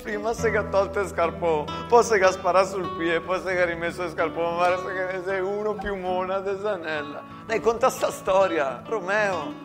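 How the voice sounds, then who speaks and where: A man plays a rowdy First World War infantryman with a thick Veneto way of speaking. He is coarse and teasing, loud and pitched high, as in live storytelling theatre. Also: moderate pace, few pauses, over music, natural voice. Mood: egging on.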